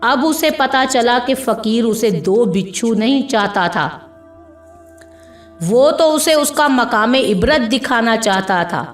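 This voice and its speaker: Echoey, high-voiced woman narrating a story. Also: expressive, takes it slowly. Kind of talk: scripted narration of an Urdu moral story. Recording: echoey voice with music behind.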